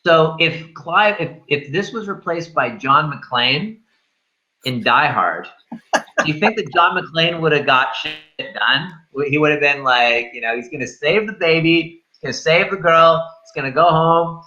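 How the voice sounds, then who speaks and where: A man's voice: on the high side, animated, unhurried, sharp tone, highs cut off. A man in a casual film discussion, rambling and thinking aloud as he reviews. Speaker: host of a film review show.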